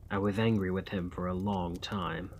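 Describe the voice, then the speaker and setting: A man playing a weary, courtly, centuries-old vampire and former soldier in an ASMR roleplay, speaking in formal, old-fashioned, measured diction. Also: low-pitched, little pitch movement, darkish tone, slight background noise, close to an everyday voice. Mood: haunted, sorrowful.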